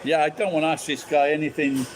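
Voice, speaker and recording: A man's voice: fairly high pitch, level tone, quick pace, background noise. A man from England with a Sheffield accent, ranting bluntly and swearing in casual chat. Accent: Sheffield English.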